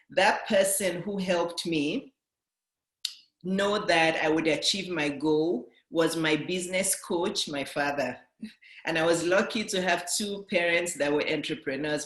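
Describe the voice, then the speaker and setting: Earnest Nigerian-British woman, echoey room. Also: lowish voice. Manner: earnest, repetitive, building points by restating. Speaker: business coach. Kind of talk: motivational talk to camera.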